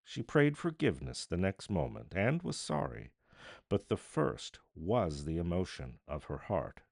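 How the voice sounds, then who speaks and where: A man narrating literature as an audiobook reader, theatrical, deliberate and solemn. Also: low voice, sing-song, creaky, a little mellow, no echo, clean studio sound.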